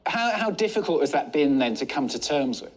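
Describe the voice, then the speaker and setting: A man's voice: fairly high pitch, sing-song, fairly quick. A man presenting a TV news interview segment, introducing his guest and asking polished, leading questions. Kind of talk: broadcast interview.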